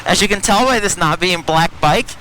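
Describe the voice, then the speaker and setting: American guy rambling on his bike ride, helmet mic, bright-ish tone, some traffic hum. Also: high voice, normal speed, rough recording. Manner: chatty, rambling, self-deprecating asides. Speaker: motorcycle vlogger. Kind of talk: casual ride-along commentary. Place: riding a motorcycle through city traffic. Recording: faint road and engine noise. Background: traffic and engine noise.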